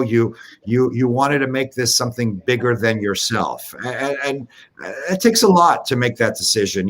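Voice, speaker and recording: A male host leads a live interview and keeps his questions upbeat and encouraging. His voice sits at an ordinary middle pitch. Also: a bit bright.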